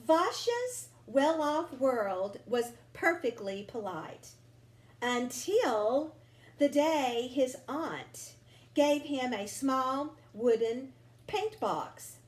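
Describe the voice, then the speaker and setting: A woman reading a children's picture book aloud expressively, drawing out words with deliberate, dramatic phrasing. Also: high voice, very animated. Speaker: storybook reader. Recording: roomy home recording with faint noise.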